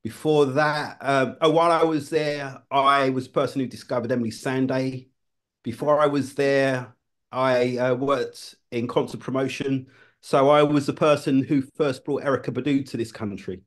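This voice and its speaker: A man, a former television producer, talking as a guest in a relaxed conversation, often restarting his phrases. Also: mid-range voice, moderate pace. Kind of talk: casual conversation.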